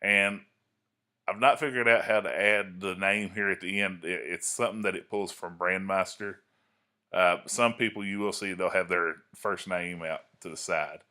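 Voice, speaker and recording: A man with a deep voice and a clear-edged, bright tone speaks. He presents an informal tutorial in a folksy, explanatory manner, pausing to check that the listener follows. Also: fairly even intonation. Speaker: amateur radio hobbyist presenting a tutorial. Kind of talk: informal screen-recorded tutorial.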